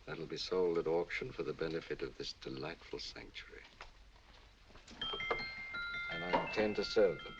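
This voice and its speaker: A sardonic prisoner. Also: male voice, extremely low pitch, animated, fairly quick, over loud music. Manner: dry, sardonic, defiant. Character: bitter imprisoned male music-box maker. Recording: distant, dull old film sound with noise.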